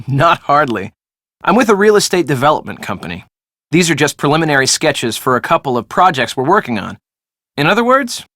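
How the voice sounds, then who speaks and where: A man's voice: a little high, fairly bright tone, no echo, studio quality. An actor playing a defensive, glib young American man in casual, conversational video game dialogue.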